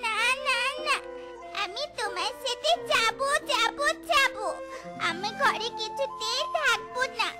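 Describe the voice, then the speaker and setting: Very high, whiny little fox girl. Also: takes it slowly. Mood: pleading, whining. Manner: childish whining, repeated pleas. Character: little girl fox cub, pleading, stubborn and playful. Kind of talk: cartoon dialogue. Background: children's music.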